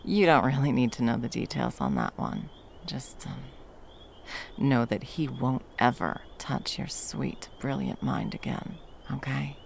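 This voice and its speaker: A battle-hardened female monster hunter, voiced by a woman for an intimate ASMR roleplay, fiercely protective of a lover. The voice is slow and gravelly with wide, expressive swings, mixing dry menace with tenderness. Faint background noise sits underneath. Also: extremely low pitch, exaggerated voice. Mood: tender, reassuring.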